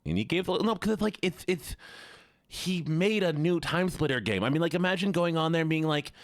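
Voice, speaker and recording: A man voicing an excitable, baffled nerd with an emphatic, incredulous manner on a livestream. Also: on the high side, a little fast, dry recording, pitched above the performer's own voice. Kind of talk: livestream voice bit for viewers.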